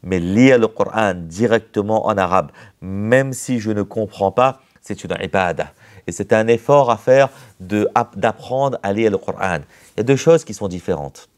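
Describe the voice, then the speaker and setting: Low-voiced man giving an Islamic lecture. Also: a little mellow. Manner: measured, lists points and repeats key terms; mixes Arabic religious terms into French. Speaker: Islamic teacher. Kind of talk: religious lecture.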